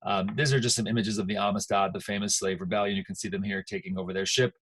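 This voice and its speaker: A man's voice: fairly low pitch, steady tone, quick pace, a bit bright, breathy edge. An American man giving an informal history lecture as a teacher, conversational and emphatic, checking in with his listeners.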